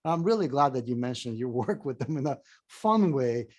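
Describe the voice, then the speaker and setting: A man's voice: mid-range voice, expressive, normal speed, a little mellow. Male host, enthusiastic and affirming, ordinary mid-range voice. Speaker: interview host. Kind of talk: casual interview talk.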